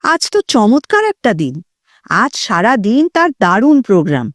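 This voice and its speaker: A woman narrating an audio story in an expressive, suspenseful, dramatic scripted style. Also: fairly high pitch, normal speed, no echo, studio quality.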